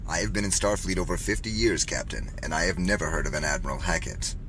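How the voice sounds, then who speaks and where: A man playing a stern, suspicious elderly admiral in an audio drama, gruff and authoritative. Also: deep voice, quick pace, fairly bright tone, a little hiss or hum, low-quality recording.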